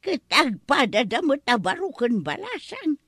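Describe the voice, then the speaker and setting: A woman's voice: high-pitched, sing-song, measured pace, fairly bright tone, breathy edge, dry recording, clean studio sound, exaggerated voice. A woman with an Ilocano accent playing a teasing village gossip in a comedy-drama. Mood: teasing, gossipy.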